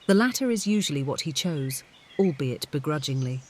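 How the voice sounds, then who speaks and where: A man narrates scripted fiction in a voice pitched a little high. Faint outdoor-like ambience and bird sounds sit behind his audiobook reading. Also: lively intonation, moderate pace, slight background noise.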